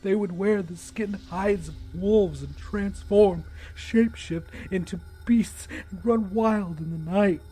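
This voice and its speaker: A man's voice: high-pitched, takes it slowly, few pauses, warm tone, husky, exaggerated voice, rough recording. Man acting a panicked, whiny, foul-mouthed coward. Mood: shaken, hushed. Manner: whiny, frantic, sobbing, hissing.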